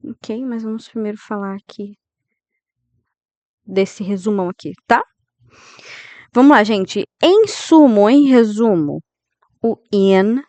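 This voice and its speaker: Slow, clear-voiced Brazilian woman teaching. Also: mid-range voice, darkish tone, no echo. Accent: Brazilian Portuguese. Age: 30s. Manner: didactic, checks in with listeners often; slows down to model English phrases. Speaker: English teacher. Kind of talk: grammar lesson.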